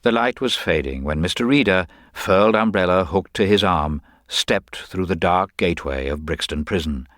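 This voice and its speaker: A man's voice: low-pitched, expressive, normal speed, few pauses, fairly bright tone, no echo, clean studio sound. A man narrating a scripted detective story.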